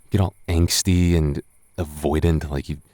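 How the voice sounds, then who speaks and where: A very low-pitched male roommate voiced by a man, tipsy and flustered, in an intimate roleplay audio. He stumbles over his words, defensive yet flirty, and sounds close and dry, with no room around him. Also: steady tone, moderate pace, warm tone, dry recording. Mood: frustrated, smitten. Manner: stammering, defensive, conversational. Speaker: voice actor.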